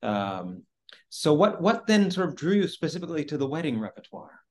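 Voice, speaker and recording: A man's voice: a little high, sing-song, normal speed, a little mellow. A middle-aged man hosting an interview over a video call, asking polite, hesitant questions full of fillers. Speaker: interviewer, ensemble director.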